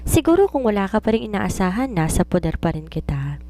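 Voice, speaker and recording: A woman's voice: medium pitch, very animated, brisk, warm tone. A woman voicing a wealthy widowed mother, wry, reproachful and possessive, with pointed phrasing and some English mixed in.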